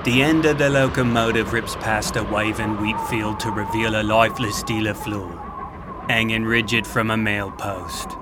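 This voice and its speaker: A man's voice: lowish voice, steady tone, moderate pace, very noisy. A man narrating a scripted audio drama in a theatrical, florid storytelling manner. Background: music.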